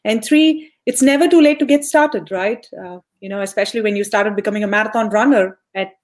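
A woman's voice: medium pitch, a little fast, a little mellow. A young woman hosting a conversational podcast interview. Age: young adult. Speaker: podcast host.

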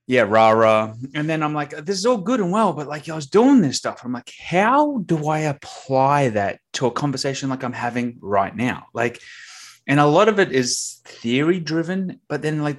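A man with an Australian accent, a podcast guest talking casually with lots of fillers and acted-out quotes. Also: medium pitch. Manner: chatty, many fillers, acts out quoted dialogue. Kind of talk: casual interview chat.

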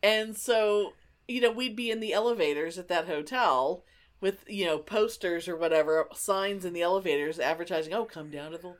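A woman's voice: fairly low pitch, normal speed. A woman co-hosting a casual podcast chat, telling stories in an emphatic, sweary way. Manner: animated, emphatic, sweary storyteller. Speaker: podcast co-host.

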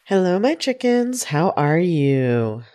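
A congested woman in her thirties speaks with a stuffy, nasal tone and a low voice that swoops up and down as she talks. She hosts her own coaching podcast in a chatty solo style, often tossing in "right?" to check in with her listeners. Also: big pitch swings, takes it slowly. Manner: chatty and warm, frequent "right?" asides, laughs easily. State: congested with a head cold. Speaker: podcast host and life coach. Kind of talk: casual solo podcast talk.

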